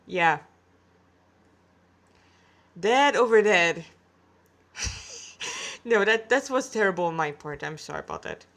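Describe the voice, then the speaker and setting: A woman's voice: on the low side, sing-song, slow, pauses often, fairly bright tone. A woman reading her own amateur fan fiction, breaking into candid, self-deprecating, chatty asides.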